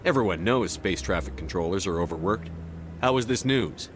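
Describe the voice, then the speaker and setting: A man playing a steady, direct military commander in a science fiction video game, terse and controlled. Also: deep voice, normal speed. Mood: probing, skeptical. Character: steady, no-nonsense male military commander of space opera. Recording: clear background ambience noise.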